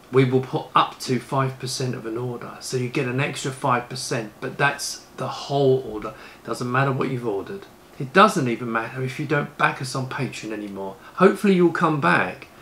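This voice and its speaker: A man's voice: medium pitch, a bit bright. Man in his fifties, noisy recording. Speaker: YouTube presenter and computer case maker. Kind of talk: casual retro computing vlog with product pitch. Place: indoor rooms with shelves of retro computers.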